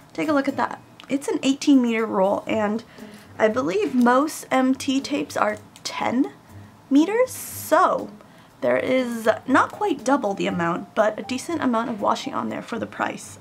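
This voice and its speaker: A woman recovering from a cold chats directly to her viewers while unboxing at home. Her slightly high voice carries a gravelly edge, with a faint background hiss. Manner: chatty, addresses viewers directly. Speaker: craft vlogger. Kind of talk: casual unboxing haul. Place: home room with air conditioning, in Japan.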